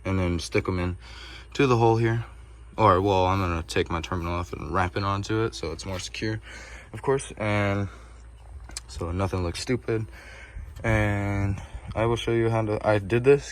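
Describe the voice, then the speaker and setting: A man's voice: lowish voice, steady tone, moderate pace. A man presenting a casual hands-on tutorial, rambling and informal with some mild swearing, recorded on a phone camera. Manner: rambling, informal, mildly profane. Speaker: do-it-yourself video presenter. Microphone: phone camera held while working. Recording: clearly noisy ambient phone recording. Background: ambient noise.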